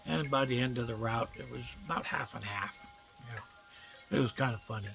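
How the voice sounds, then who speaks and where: A man's voice: medium pitch, moderate pace, creaky, fairly bright tone, faint music behind. An older man telling personal stories in a casual, folksy, rambling way with colloquial phrasing. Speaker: storyteller recounting personal memories. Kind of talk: casual reminiscing monologue.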